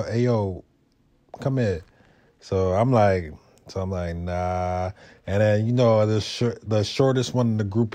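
Low, mellow New man. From Brooklyn, New York. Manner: laid-back, slangy street talk. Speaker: motovlogger. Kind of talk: casual storytelling vlog.

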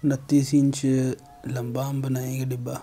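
A young man hosts a how-to tutorial. His mid-pitched voice stays very even in tone as he explains each step plainly, like an instructor. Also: a little slow, soft background music. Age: young adult. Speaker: how-to video host.

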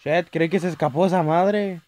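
A young man with a Chilean accent commentating a horror game, yelling at jump scares and rambling between them. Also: on the high side, steady tone, moderate pace. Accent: Chilean Spanish. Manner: jumpy, shouting at scares, rambling asides. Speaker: gaming youtuber. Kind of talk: let's play commentary.

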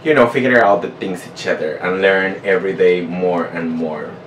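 A man's voice: low-pitched, normal speed. A man in his thirties, a native Spanish speaker with a Mexican accent, chatting casually as a vlogger. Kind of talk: casual chat to camera. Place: small bedroom indoors. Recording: roomy echo, distant mic, faint noise.

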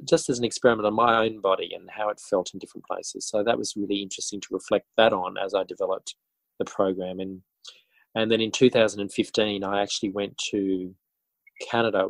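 An Australian man with a lowish voice talks as an interview guest. He is reflective and conversational, pausing and rephrasing as he goes. The sound is fairly dry. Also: creaky, fairly bright tone, dry recording, low-quality recording. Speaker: interview guest, author and teacher. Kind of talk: conversational interview answers, telling his story.

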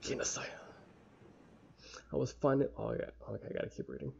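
A young man with a casual, halting, sweary manner reading a visual novel aloud and commenting as he plays. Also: medium pitch, fairly even intonation, creaky, a little mellow, slightly rough. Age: young adult. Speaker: let's play streamer. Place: small room at home. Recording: dull lo-fi mic with faint noise.

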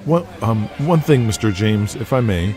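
A man's voice: fairly low pitch, lively intonation, normal speed, close to an everyday voice. A man playing a shy young wrestling fan in an audio drama. He is polite, starstruck and stammers hesitantly, with music behind. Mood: hesitant, earnest.

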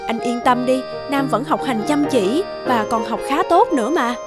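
A woman voicing an understanding mother in an animated moral story, speaking gently, earnestly and persuasively. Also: on the high side, moderate pace, loud music behind the voice, lightly acted.

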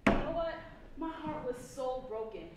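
A woman's voice: sits high, lively intonation, normal speed. Woman acting lovestruck woman, very echoey stage. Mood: excited, lovelorn. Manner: defensive, dramatic. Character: defensive, lovestruck young woman excusing her boyfriend. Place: indoor theater stage living-room set. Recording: very echoey distant stage recording, faint noise.

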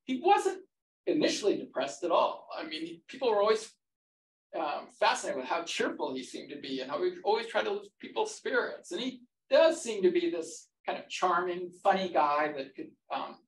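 A man answering questions about his book at a roundtable, halting and full of fillers and restarts, in a conference room before an audience. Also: sits high, sing-song. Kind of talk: academic discussion. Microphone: far room mic. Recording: echoey room, distant mic, faint noise.

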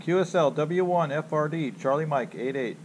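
A man's voice: on the high side, normal speed. A middle-aged man doing amateur radio callouts and casual chat to camera outside his house. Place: outside a house on a residential street. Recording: noisy outdoor recording with radio hiss.

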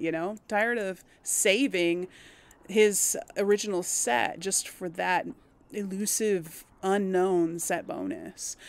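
A woman hosts a casual video game playthrough. She thinks out loud in a hesitant, musing way, as if half talking to herself. Her delivery is slow, and her mid-pitched voice often drops into a crackly fry. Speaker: gaming host. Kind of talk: casual let's play commentary, thinking aloud.

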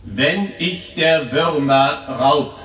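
A solemn atheist declaims questions in a radio play. His bright, forceful voice rings out from far off in an echoing empty church, extremely slowly. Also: a little high. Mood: solemn, searching.

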